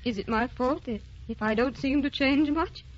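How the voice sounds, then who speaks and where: An actress playing a gentle, anxious, youthful-looking married woman in a vintage radio drama, pleading and hesitant. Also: high voice, normal speed. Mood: anxious, defensive. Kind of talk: 1940s radio drama acting. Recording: dull vintage radio broadcast.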